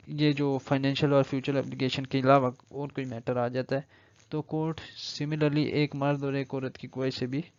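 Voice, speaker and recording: A dull, lo-fi recording with very little room sound carries a man's mid-pitched voice. He has a Pakistani accent and speaks as a lecturer, explaining point by point and slipping into English legal terms. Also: a little mellow, no room sound. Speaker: law lecturer. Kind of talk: explanatory lecture, reading statute aloud then paraphrasing.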